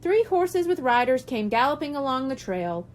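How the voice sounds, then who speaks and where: A woman's voice: sits high, sing-song, normal speed. A woman reading a children's storybook aloud as scripted narration. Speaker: audiobook reader.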